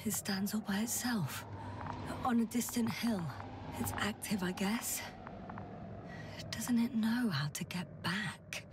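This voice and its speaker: A woman playing a determined, curious scientist exploring an alien planet in a video game, with measured, murmuring delivery. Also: medium pitch, far from the mic, background noise, close to an everyday voice. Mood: puzzled, wary. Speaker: doctor on a space crew. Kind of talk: naturalistic video game acting.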